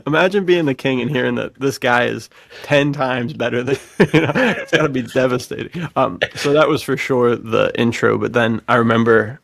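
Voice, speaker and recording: Casual male podcast host, enthusiastic bro-talk, creaky mid-range voice, very dry recording. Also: mid-range voice, moderate pace, dry recording. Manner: excitable bro-slang talk.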